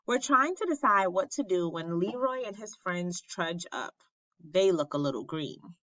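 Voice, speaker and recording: A woman reads a children's story in a bright, forceful voice. She takes her time, teaching as she goes with animated expression. The recording is a little dull and lacks crispness. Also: on the low side, a little slow. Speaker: teacher reading a picture book aloud. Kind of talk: expressive children's read-aloud. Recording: roomy, slightly muffled sound.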